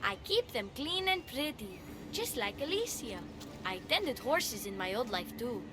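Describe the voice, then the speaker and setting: A woman's voice: on the high side, very animated, unhurried, music in the background. A woman playing an innocent, proud young stable boy in a video game, guileless and childlike. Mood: innocent, puzzled. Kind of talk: video game cutscene acting.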